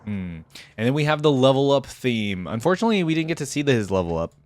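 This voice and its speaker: A man's voice: medium pitch, sing-song, fast, no room sound. A man hosting a casual reaction video about game voice lines, chatting in a laid-back, conversational, amused way.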